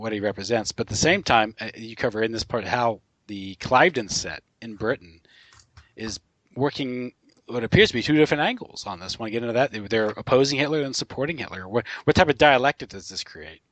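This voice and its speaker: A man's voice: on the low side, fairly bright tone, no echo, rough recording. A man hosting a casual podcast interview, asking long leading questions with fillers. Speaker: host.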